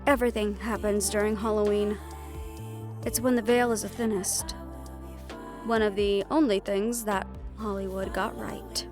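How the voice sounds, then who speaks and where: A woman's voice: mid-range voice, little pitch movement, unhurried, over music, natural voice. A woman playing a protective, knowledgeable occult investigator in an audio drama, explaining things in a reassuring but firm manner. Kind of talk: audio roleplay acting.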